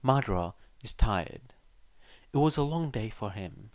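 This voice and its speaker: A man's voice: lowish voice, animated, unhurried, dry recording. A man working as a fan fiction reader, either narrating a story or chatting casually to listeners. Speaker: podfic reader. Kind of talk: scripted story narration, casual chat afterwards.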